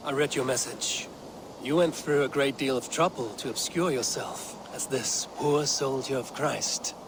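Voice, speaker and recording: An actor playing a steadfast Viking warrior leader with a measured, direct delivery in a game drama. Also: male voice, medium pitch, background noise, close to an everyday voice. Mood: probing. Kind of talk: dramatic game cutscene acting.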